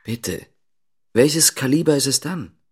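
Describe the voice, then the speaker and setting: A husky-voiced police investigator in a radio drama. He is formal and insistent, pushing with probing questions at a middling pitch. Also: a little slow, a little mellow. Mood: pressing.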